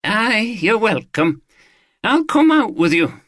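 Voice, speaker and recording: A man's voice: high voice, very animated, slow, fairly bright tone, no echo, clean studio sound, pitched above the performer's own voice, full character voice. A man voicing a friendly middle-aged gun collector, chatty and proud. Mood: eager.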